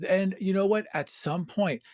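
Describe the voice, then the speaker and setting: A man's voice: high voice, moderate pace, dry recording, studio quality. A man brainstorming in casual conversation, emphatic and sweary, often repeating himself for effect.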